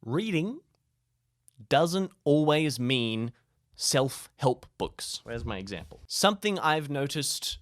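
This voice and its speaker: A man's voice: medium pitch, sing-song, slow pace, no room sound, clean studio sound, soft background music. A man giving casual advice to camera in a playful, self-deprecating way with comic asides. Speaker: YouTube creator sharing tips.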